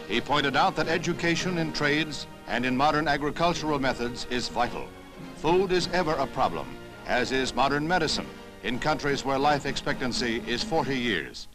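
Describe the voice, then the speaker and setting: A man's voice: a little high, rough recording. A man with an American accent narrating a 1960s newsreel in a formal, declarative announcer style. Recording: 1960s newsreel soundtrack with music. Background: music.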